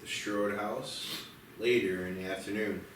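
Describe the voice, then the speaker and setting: A man's voice: on the low side, steady tone, measured pace, background noise. A man reading screenplay stage directions as the narrator of a table read. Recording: very echoey, distant mic, music behind. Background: music.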